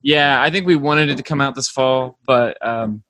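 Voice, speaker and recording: A man's voice: medium pitch, normal speed, bright, forceful tone. A young American man chatting casually as a band member being interviewed.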